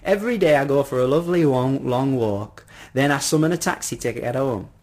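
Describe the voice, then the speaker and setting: A man voicing a polite, well-spoken little old gentleman in a storybook, pleading gently with old-fashioned, effusive courtesy. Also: mid-range voice, brisk, close to an everyday voice. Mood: coaxing.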